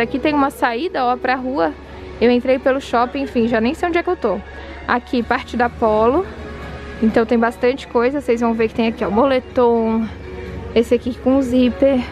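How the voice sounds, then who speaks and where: A woman with a fairly high voice talks casually to her viewers while she films her way through a big department store. She reads out prices and discounts and adds quick opinions as she goes. Faint store music plays behind her. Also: a little mellow. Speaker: shopping vlogger. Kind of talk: casual walk-through vlog. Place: large department store, indoors.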